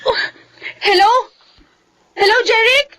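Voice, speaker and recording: A woman's voice: very high-pitched, slow, sharp tone, full character voice, poor audio. A woman playing an anguished, pleading young pregnant woman in a Filipino radio drama, with a Filipino accent. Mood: tearful, pleading. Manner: pleading, emotional.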